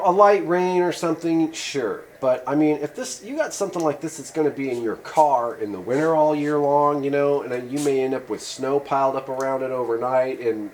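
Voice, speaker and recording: A man's voice: mid-range voice, a little mellow, slight background noise, rough recording. A man in a home workshop giving a rambling, casual how-to talk with many fillers. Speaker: channel host demonstrating a repair.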